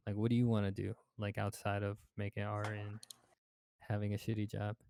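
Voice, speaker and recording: Low mellow-voiced young podcast host. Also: male voice, monotone, fairly quick, gravelly, a little hiss or hum. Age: 20s. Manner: rambling, heavy filler and swearing. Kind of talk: casual podcast chat.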